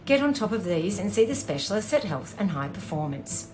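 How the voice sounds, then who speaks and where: A woman's voice: medium pitch, sing-song, a little fast, darkish tone, poor audio. A woman who hosts a podcast and coaches runners, reading a scripted intro and ads in an earnest, friendly way. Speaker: podcast host and running coach.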